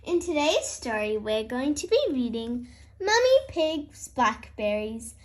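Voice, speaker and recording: A woman's voice: on the high side, sing-song, measured pace. A woman reading a children's picture book aloud as host, expressively and aimed at young listeners. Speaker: host and read-aloud storyteller.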